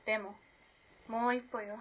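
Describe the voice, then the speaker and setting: An actress playing a composed, enigmatic young modern woman in a period Japanese radio play, speaking in a cool, measured, teasing way. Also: fairly high pitch, level tone, lightly acted, poor audio. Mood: coolly approving. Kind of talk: dramatic radio play acting. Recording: clear background noise and ambience.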